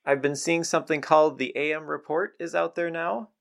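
American male podcast host with creaky voice. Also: a little high, fairly even intonation, a little fast, a bit bright. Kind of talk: conversational interviewing.

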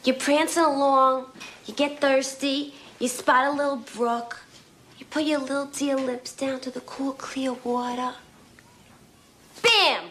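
A woman's voice: high voice, steady tone, takes it slowly, fairly bright tone, a little hiss or hum. An actress playing a brash young woman in a film scene, spinning a blunt, profane little story with mocking relish. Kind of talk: film dialogue clip.

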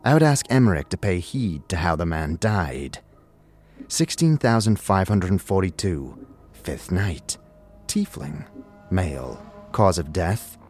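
A man's voice: deep voice, big pitch swings, slow pace, darkish tone, breathy edge, full character voice. A man voicing a methodical mortuary record-keeper who reads out entries in a clipped, clinical way for an audio drama. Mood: clinical, detached. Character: dry, methodical mortuary clerk reading ledger entries. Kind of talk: audio drama document reading. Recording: music under the voice.

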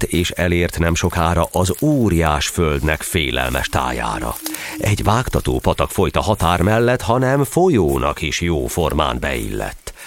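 A man narrating a verse audio drama as a dramatic storyteller, theatrical and expressive. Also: low-pitched. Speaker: narrator and actor.

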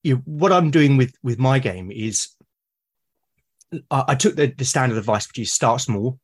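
A man's voice: medium pitch, expressive, moderate pace, husky. A man from Britain, a podcast guest explaining his ideas with enthusiasm and emphasis. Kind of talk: conversational interview.

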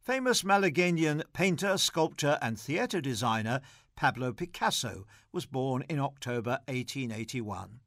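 A man's voice: mid-range voice, very animated, unhurried, fairly bright tone, no echo, clean studio sound. A man presenting a scripted audio magazine, reading trivia and introductions. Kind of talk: scripted quiz and narration.

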